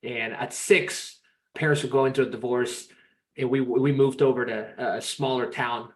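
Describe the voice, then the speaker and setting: A man's voice: mid-range voice, fairly even intonation, normal speed. A man from Peru, in his thirties, chatting as a podcast guest in an upbeat, rambling way with many restarts. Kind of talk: casual interview chat.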